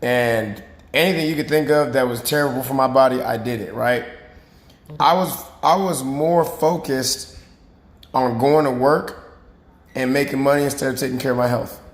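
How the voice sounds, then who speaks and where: Raspy, hoarse fitness coach. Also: male voice, medium pitch, moderate pace. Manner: earnest, checks in with "right?" and "okay?". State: hoarse after boot camp. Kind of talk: casual talk to camera. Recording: roomy recording.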